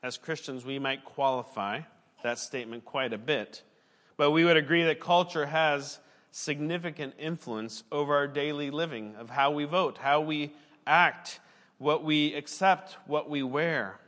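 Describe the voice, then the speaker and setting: A man's voice: on the high side, fairly even intonation, a little slow, a bit bright, long reverb tail. A man, a pastor and former missionary, preaching a sermon in an earnest, conversational way and now and then correcting himself mid-sentence.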